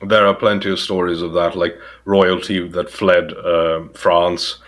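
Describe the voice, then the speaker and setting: A man in casual podcast talk, explaining things at length with many filler words and pauses. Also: deep voice, little pitch movement, a bit bright. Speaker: podcast guest. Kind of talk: casual conversation.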